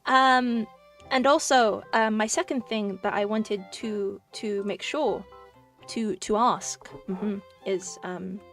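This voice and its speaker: A woman's voice: medium pitch, steady tone, slow pace, a bit bright, recorded from a distance. A woman streaming casually as a VTuber rep, bubbly and giggly, with lots of fillers and playful restarts. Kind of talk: casual live-stream chat. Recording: voice a little off-mic, faint music behind.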